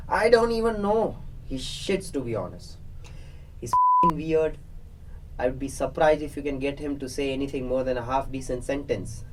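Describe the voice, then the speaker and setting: A man's voice: medium pitch, a bit bright, lightly acted. A man playing a flippant, sweary lab assistant in a radio play, talking in a casual, dismissive way. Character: crude, flippant lab assistant briefing experiment volunteers. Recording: distant mic, faint background noise.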